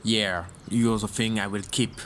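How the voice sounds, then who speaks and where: A man's voice: on the low side, little pitch movement, unhurried, a bit bright, a little hiss or hum. A man playing a big, cynical, boastful monster in an amateur story dramatization. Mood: menacing.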